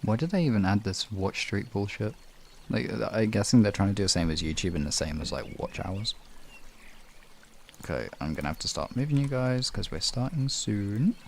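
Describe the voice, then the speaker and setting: Gravelly guy streamer just chatting, swears a lot, bit of noise behind him. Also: lowish voice, expressive, normal speed. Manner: casual, swearing freely. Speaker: livestream host. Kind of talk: casual livestream chat.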